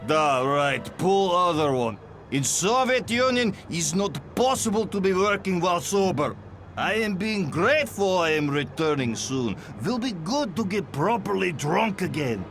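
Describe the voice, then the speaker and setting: An actor playing a boozy, blunt Soviet courier in a video game, with a Russian accent and comically broken English. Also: male voice, high voice, big pitch swings, measured pace, fairly bright tone, heavily acted. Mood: grumbling. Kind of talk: video game cutscene acting. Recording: faint background music.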